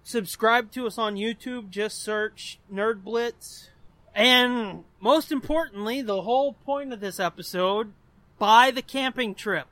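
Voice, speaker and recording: A man with a high, bright voice talks as a podcast co-host in a close, dry recording. His delivery is casual and unpolished, with plenty of swearing. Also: takes it slowly, no room sound. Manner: informal, swears often.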